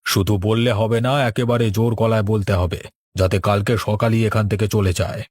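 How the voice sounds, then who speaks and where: A man's voice: fairly low pitch, little pitch movement, normal speed, darkish tone, no room sound, studio quality, natural voice. A man acting a manipulative village elder in a cartoon, insistent and persuasive under a show of care. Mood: insistent, scheming. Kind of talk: Bengali cartoon dialogue.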